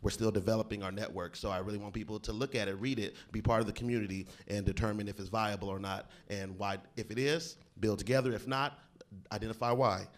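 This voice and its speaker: A man's voice: lowish voice, steady tone. Affable male panel moderator. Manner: quick, affable, self-correcting.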